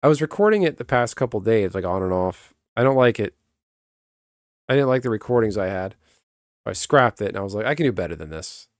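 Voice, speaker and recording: A man chatting casually on a stream, rambling and breaking off mid-sentence, answering his viewers in a calm, even voice. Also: on the low side, brisk, dry recording, studio quality. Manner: rambling, self-interrupting, chats with viewers. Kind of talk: casual live-stream chat.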